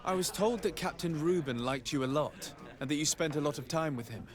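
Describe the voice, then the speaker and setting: Man acting a polite, higher-voiced noble envoy. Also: a crowd in the background, close to an everyday voice. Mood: measured, persuasive. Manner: formal, courteous, measured. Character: polite, composed, probing young nobleman envoy. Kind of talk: video game dialogue acting.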